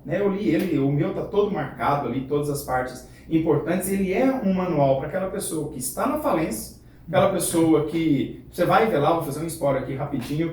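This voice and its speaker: A man hosting his channel and interviewing a guest, talking with lots of fillers. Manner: animated, many fillers like "é" and "né". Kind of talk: interview, enthusiastic introduction. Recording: very echoey room from far off, faint rumble.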